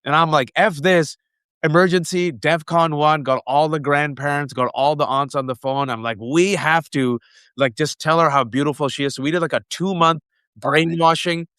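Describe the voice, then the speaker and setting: A man in his forties from the United States, hosting an interview and telling animated personal stories with lots of asides. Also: a little high, moderate pace, smooth, no echo. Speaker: host. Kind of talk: conversational interview.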